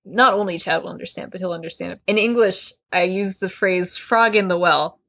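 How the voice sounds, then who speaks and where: A woman's voice: lowish voice, fairly quick, fairly bright tone, no echo. A woman co-hosting a podcast in casual, conversational talk. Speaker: podcast co-host.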